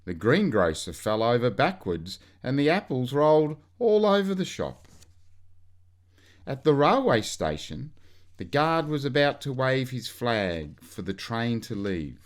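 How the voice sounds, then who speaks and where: A man with a medium-pitched voice reads a children's story aloud. His delivery is very slow, with a lively, swooping range of pitch. He leans expressively on key words and stretches them out for young listeners.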